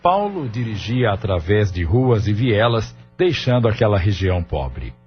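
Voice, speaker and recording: A man's voice: lowish voice, big pitch swings, unhurried. A man reading scripted narration for an audio drama as its narrator. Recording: muffled studio narration over music.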